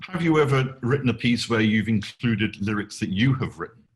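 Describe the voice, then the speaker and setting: Low-voiced man interviewing a guest. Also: expressive, normal speed. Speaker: podcast host, interviewer. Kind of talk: conversational arts interview.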